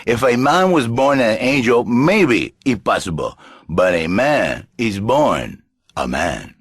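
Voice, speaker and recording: An actor playing a flamboyant, pompous Latin lothario with a Spanish accent and husky voice, theatrical and grandiose in broken English on satirical talk radio. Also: male voice, medium pitch, very animated, takes it slowly, no room sound, studio quality, exaggerated voice. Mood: indignant, seductive. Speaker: radio talk show guest.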